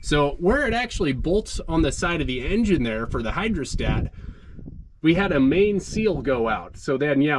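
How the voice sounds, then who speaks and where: A man with a stuffed-up, congested voice talks in a loose, rambling way, full of fillers, as he shows off farm machinery on a livestream. Clear background noise runs under his voice outdoors, and his pitch sits in a middle range. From Montana. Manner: relaxed rambling with fillers, greets chat viewers. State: stuffed up from a cold. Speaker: farmer livestreaming. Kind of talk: casual live walkthrough.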